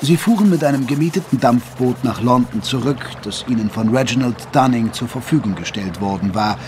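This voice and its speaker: A man's voice: medium pitch, fairly quick, noisy background. A man narrating an audio drama from a script in a literary storytelling style.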